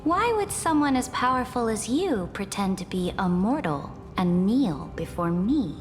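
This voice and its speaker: An actress voicing a proud, commanding female ruler in a video game, with a haughty, measured and cutting delivery. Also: mid-range voice, big pitch swings. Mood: scornful, challenging. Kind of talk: dramatic video game voice acting. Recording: long reverb, music behind. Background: music.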